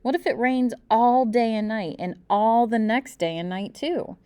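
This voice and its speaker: A woman's voice: fairly high pitch, a little mellow, clear, resonant tone, no room sound, pitched above the performer's own voice, natural voice. A woman voicing an anxious young boy in a children's storybook, fretting with worried questions. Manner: fretful, rapid what-if questioning. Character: anxious, worrying young boy with big fears. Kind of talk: children's storybook read-aloud.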